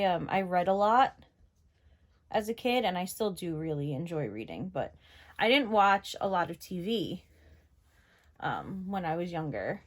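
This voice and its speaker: Young woman from New York, northeastern American accent, chatty rambling makeup vlogger, medium pitch. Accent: general northeastern American. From New York, USA. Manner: chatty, rambling, lots of fillers. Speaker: beauty vlogger. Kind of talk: casual makeup tutorial chat.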